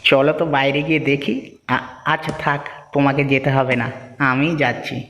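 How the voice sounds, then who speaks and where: A man's voice: medium pitch, steady tone, moderate pace, close to an everyday voice. A man voicing a secretive, possessive village man in a cartoon, guarded and matter-of-fact. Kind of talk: cartoon story dialogue. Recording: long reverberant hall echo, music behind.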